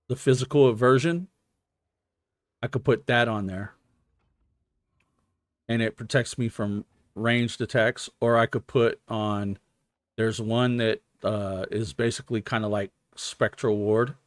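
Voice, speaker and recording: Slow-talking man streaming a game. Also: lowish voice, no echo, studio quality. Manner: thinking out loud, explanatory, hesitant. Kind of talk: casual gaming stream commentary.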